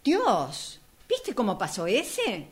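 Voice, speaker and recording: A woman's voice: medium pitch, wildly swooping pitch, slow pace, slightly rough, exaggerated voice. An Argentine woman acting in a radio drama as a nervous, bossy driver, anxious and argumentative. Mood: doubtful, exasperated. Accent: Argentine, Rioplatense.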